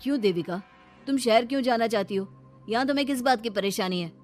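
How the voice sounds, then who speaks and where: A woman's voice: fairly high pitch, quick pace, darkish tone, soft background music, close to an everyday voice. A woman voicing an exasperated young husband in a cartoon story, placating and insistent. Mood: puzzled, insistent. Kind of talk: Hindi cartoon story dialogue.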